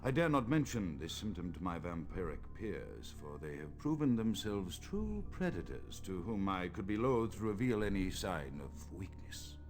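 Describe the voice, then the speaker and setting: An actor playing a paranoid, scholarly male vampire doctor in a video game, dictating a journal in formal, theatrical, measured diction. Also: low-pitched, very animated, darkish tone, a little hiss or hum. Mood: troubled, grandiose. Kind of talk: dramatic recorded monologue in a video game.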